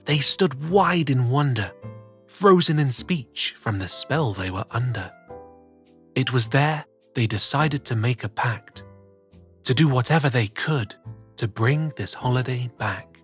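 A man narrating a children's story as host, reading rhymes in a theatrical, deliberate way. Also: medium pitch, husky. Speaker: storyteller host of a children's read-aloud show. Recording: soft music bed behind the voice. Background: soft music.

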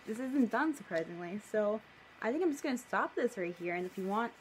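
A young woman reads a story aloud in a casual vlog style, at a middle pitch. She keeps stepping out of the reading with playful, mock-indignant comments. It is recorded in a living room on a camera a little way off, with faint noise behind her. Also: animated, fairly quick, poor audio. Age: young adult. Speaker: video creator. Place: living room at home, indoors.

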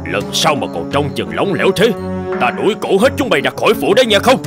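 A man voicing a pompous, scheming middle-aged magistrate in an animated folk tale, scolding his underlings, with music behind. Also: a little high, a little fast. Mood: angry, threatening.

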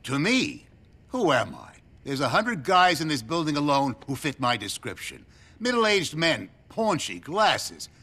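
An actor playing a smug, cynical middle-aged American government agent, sardonic and commanding, in a video game cutscene. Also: male voice, on the high side, big pitch swings, measured pace, sharp tone, slightly rough, heavily acted. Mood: irritated, commanding.

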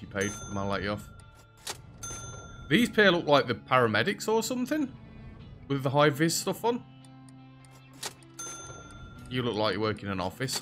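A young British man with a rather deep voice, chatting casually over a game as host and joking with the characters on screen. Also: a bit bright. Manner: chatty, self-deprecating, talks to game characters. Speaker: gaming video host. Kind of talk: casual let's-play commentary. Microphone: headset.

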